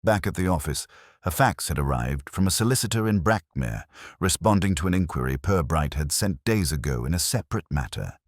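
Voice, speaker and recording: A man narrates a mystery in a steady storytelling manner. His voice is extremely low and husky, with lively pitch movement. The sound is close and completely dry. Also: a little fast, no room sound.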